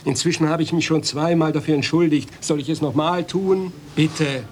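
A man plays a sardonic husband in a radio play, a little high in pitch. He sounds dry, dismissive and irritable, on a slightly hissy cassette recording. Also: fairly even intonation, normal speed, natural voice. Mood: sardonic, irritated. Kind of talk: German radio play acting. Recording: faintly noisy old cassette radio recording.

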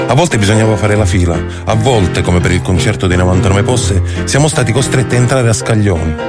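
A man calmly narrating a first-person memory for a radio reading. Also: lowish voice. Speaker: reader. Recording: radio reading over loud music.

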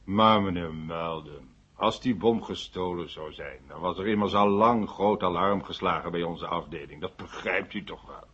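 Grudging police inspector in a radio play, low rough voice, dry, muffled old recording. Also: male voice, moderate pace, fairly bright tone. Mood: dry, sceptical. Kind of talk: 1970 Dutch radio drama acting.

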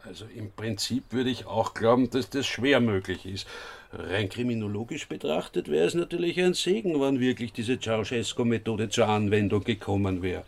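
A man's voice: on the low side, normal speed, gravelly. An actor playing a probing, dryly matter-of-fact male investigator in a radio drama.

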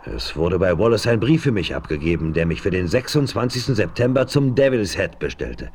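A man's voice: deep voice, sing-song, fairly quick, darkish tone, slight background noise. An actor playing an earnest, thoughtful frontiersman searching for his family in an old radio play. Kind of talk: 1970s radio play acting.

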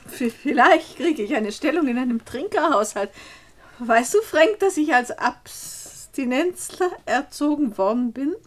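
An actress playing a young, frustrated and slightly tipsy niece in a German radio play, pointed and plaintive, in standard German. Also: female voice, sits high, animated, unhurried. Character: young niece, tipsy, frustrated, defiant toward her aunt.

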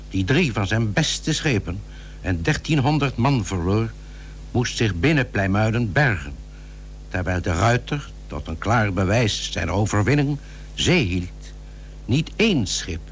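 Low-voiced formal male narrator. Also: very animated. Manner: formal, measured chronicle telling. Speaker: narrator of a historical radio play. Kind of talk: scripted narration, archaic literary wording. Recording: old radio recording with faint hum.

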